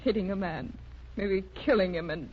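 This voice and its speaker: An actress playing a worried, grieving young wife with bitterness, speaking haltingly and plaintively in 1930s radio drama style. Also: female voice, medium pitch, a little hiss or hum, close to an everyday voice. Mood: bitter. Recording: muffled, lo-fi 1930s radio recording.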